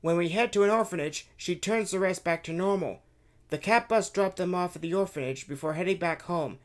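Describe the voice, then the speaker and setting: A man voicing an eager young boy excitedly recounting an adventure in a raised voice. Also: sits high, fairly quick, pitched above the performer's own voice, close to an everyday voice. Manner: excited, breathless storytelling.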